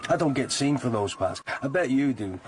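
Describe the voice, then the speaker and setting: A man's voice: medium pitch, animated, moderate pace, slight background noise, lightly acted. A man playing a naive, matey actor in a radio drama, talking bluntly and colloquially. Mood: matey, chatty.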